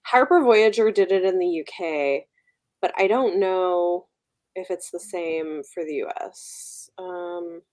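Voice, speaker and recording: A woman's voice: lowish voice, takes it slowly, darkish tone. A woman speaking casually as a panelist in a group book discussion.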